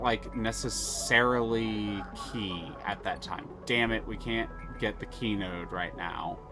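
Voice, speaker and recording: A man streaming a story game live, reading narration aloud and thinking aloud between moves, with frequent restarts. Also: mid-range voice.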